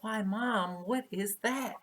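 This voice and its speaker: Man doing a high, whiny little raccoon boy. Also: fairly bright tone, a little hiss or hum. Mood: hushed curiosity. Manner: whiny, pleading, halting. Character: sad, anxious young boy raccoon pleading with his mother. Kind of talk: children's storybook read-aloud.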